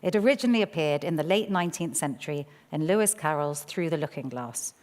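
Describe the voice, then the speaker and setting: Woman introducing a reading, lowish voice, big echoey theatre. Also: animated, quick pace, darkish tone. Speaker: presenter introducing a poem. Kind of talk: scripted spoken introduction. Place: theatre stage before an audience. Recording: reverberant theatre hall.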